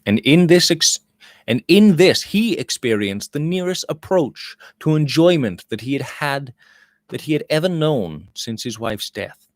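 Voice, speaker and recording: A man narrating a literary novel as an audiobook reader, with deliberate, expressive phrasing. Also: fairly high pitch, no echo, studio quality.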